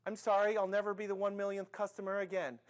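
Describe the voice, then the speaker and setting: A man's voice: high-pitched, monotone, fast, lightly acted. A man voicing a weird, nerdy boy who apologises in a breathless, run-on way for a comic story. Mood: frantic apology.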